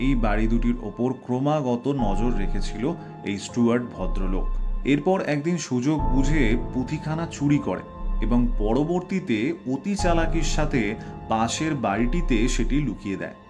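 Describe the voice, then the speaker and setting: Male actor as self-assured sleuth: formal, deliberate, theatrical reveal delivery, prominent music bed. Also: mid-range voice, animated, natural voice. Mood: measured, explanatory. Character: shrewd, self-assured male Bengali detective unmasking a culprit. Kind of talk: audio drama acting. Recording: loud background music under voice.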